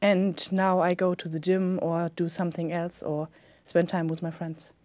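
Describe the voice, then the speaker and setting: A woman's voice: lowish voice, little pitch movement, moderate pace, a little mellow, no room sound. Young woman, German accent, hesitant, filler-heavy, dry close recording. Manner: hesitant, many ums. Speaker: exam candidate. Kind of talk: spoken exam answers.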